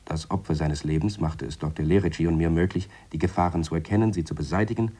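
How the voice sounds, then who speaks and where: Radio drama reflective scientist: very low, mellow, slightly husky, measured and wryly lecturing. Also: male voice. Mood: matter-of-fact. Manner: measured, dry, explanatory. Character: Harry, deliberate, wry male scientist explaining his theories.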